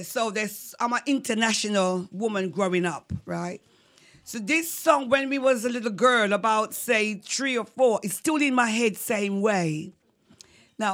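A Jamaican woman telling a childhood folk story as a guest, rambling and warm, breaking into singing. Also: medium pitch.

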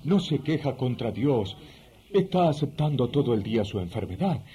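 Radio drama role: scheming magician male actor, mellow dark tone, insistent and wheedling, music underneath. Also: mid-range voice, sing-song, moderate pace, natural voice. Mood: eager, wheedling. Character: Simon the magician, eager scheming man seeking power.

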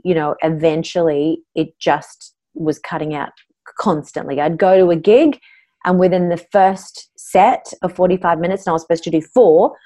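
A woman's voice: sits low, dark tone. A middle-aged woman with an Australian accent, a voice coach telling her own story openly and earnestly in an interview.

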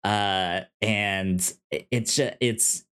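A man co-hosting a podcast in casual film discussion, speaking haltingly as he thinks aloud. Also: on the low side, steady tone, fairly bright tone, smooth. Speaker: podcast co-host.

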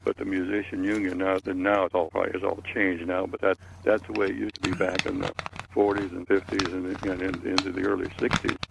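Elderly man, very low voice, gravelly fry, rambling casual reminiscence, faint background noise. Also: level tone, brisk. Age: 80s. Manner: rambling, repeats words. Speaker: panelist.

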